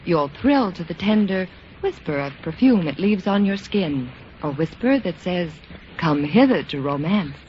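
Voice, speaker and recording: A woman's voice: lowish voice, animated, a little slow. Lilting American woman, vintage radio commercial. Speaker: commercial spokeswoman. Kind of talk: 1940s-1950s radio commercial reading. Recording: muffled old radio broadcast, faint noise.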